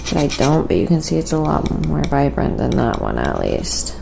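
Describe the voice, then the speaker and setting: Gravelly-voiced woman chatting on a livestream. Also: low voice, noisy background. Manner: relaxed, halting, thinking aloud. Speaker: livestream host and painter. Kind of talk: casual livestream chat while painting, reading viewer comments.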